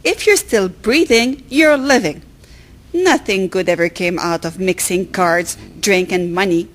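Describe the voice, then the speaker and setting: Female actor as prim moralizing passer-by, medium-pitched, historical radio drama, slight background noise. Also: measured pace, lightly acted. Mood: disapproving. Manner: prim, judgmental.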